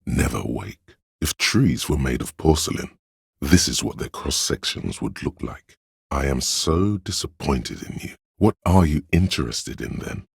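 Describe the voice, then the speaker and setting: An actor voicing a pompous, art-obsessed inner voice in a video game, narrating in a literary, deliberate and theatrical way with a husky, gravelly tone. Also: male voice, very deep voice, lively intonation, dry recording, studio quality, exaggerated voice. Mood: solemn, disdainful. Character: pretentious art-obsessed inner voice, male, musing and cutting. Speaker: narrator of a character's inner skill voice.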